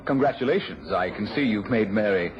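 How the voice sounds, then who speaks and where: A male actor plays an effusive charmer in a radio play. His voice is fairly low and swings expressively through his lines. The vintage recording is dull. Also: lively intonation, a little fast, crowd noise, lightly acted. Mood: charming. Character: smooth-talking, effusive old flame of a married woman. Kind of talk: mid-century British radio drama acting.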